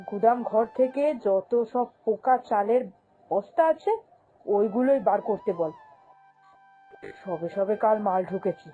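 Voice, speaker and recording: A woman's voice: on the high side, narrow, tinny audio. A woman voicing a greedy, domineering, haughty female shop boss in a cartoon, scolding and dismissive. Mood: scheming, bossy. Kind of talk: cartoon fairy-tale voice acting.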